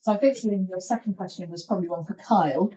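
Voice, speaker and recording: A woman's voice: medium pitch, fast, mellow. A woman chairing a lecture panel, politely handing out questions to speakers. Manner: polite, directing turns. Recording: distant mic, muffled sound.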